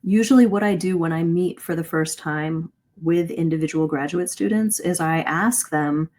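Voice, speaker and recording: Female communication coach, middle-aged, slightly low voice; explains conversationally and encouragingly, leaning on key points. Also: normal speed, a little mellow. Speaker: English communication coach, host. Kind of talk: live online lesson, conversational teaching. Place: live video stream.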